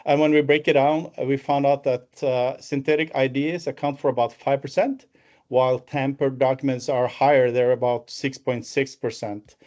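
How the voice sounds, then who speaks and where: A middle-pitched man in his fifties speaks English with a Norwegian accent over a muffled, lo-fi video call. He presents a business webinar from an office, steady but full of "uh" fillers and restarts. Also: normal speed. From Norway. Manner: fluent with frequent fillers and restarts. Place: office, alone.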